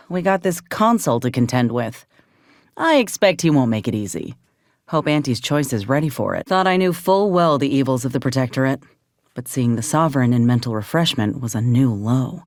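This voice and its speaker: A woman's voice: sits low, darkish tone, no echo, studio quality. A woman playing an earnest, loyal frontier soldier in a video game, speaking plainly and with measure. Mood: grim, resolute. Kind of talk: video game companion dialogue.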